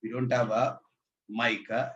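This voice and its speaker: A man's voice: medium pitch, flat delivery, a little slow, fairly bright tone, a little hiss or hum. A man with a sore throat, teaching an online lecture, repeating each point. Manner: repetitive, asks if audible, says sir. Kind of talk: online lecture on state reduction. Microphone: laptop mic. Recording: distant, echoey, dull laptop mic.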